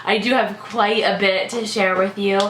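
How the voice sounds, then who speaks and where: A woman's voice: medium pitch, fairly even intonation, moderate pace, fairly bright tone, roomy sound, a little hiss or hum, low-quality recording. Congested woman in chatty beauty vlog. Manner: chatty, many "like" and "um" fillers. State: congested, sick voice. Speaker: beauty vlogger. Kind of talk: casual product review.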